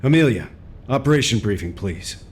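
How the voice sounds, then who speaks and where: An actor playing a calm, supportive male commander giving orders and praise. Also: medium pitch, moderate pace, breathy edge. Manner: calm and encouraging. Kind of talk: game cutscene voice acting.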